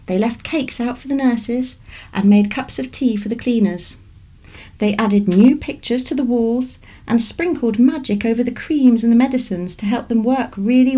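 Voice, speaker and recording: A woman with a British accent reading a children's story aloud in an expressive storytelling manner. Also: fairly high pitch. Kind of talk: scripted children's story narration.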